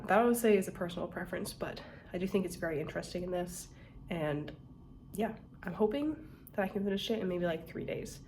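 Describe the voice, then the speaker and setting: A woman's voice: mid-range voice, darkish tone. Young woman, mid-range voice, loose chatty vlog delivery, faint room noise behind. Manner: chatty, many fillers, trailing asides. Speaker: book vlogger. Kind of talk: casual reading vlog chat.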